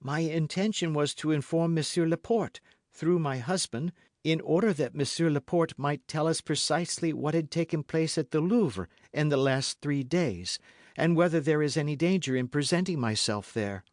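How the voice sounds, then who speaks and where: A man reading an audiobook as an anxious, guarded young gentlewoman who speaks in a formal, fretful, pleading way. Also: a little high, moderate pace, dry recording, studio quality, pitched above the performer's own voice, lightly acted. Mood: anxious, explaining.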